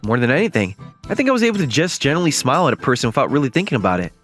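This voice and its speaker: A man voicing an awkward, kind, earnest young man in a manga dub, with expressive delivery. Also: mid-range voice, rapid-fire, faint music behind. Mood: reflective. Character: awkward, kind young man self-conscious about his looks. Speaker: voice actor. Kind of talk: manga dub dialogue and narration.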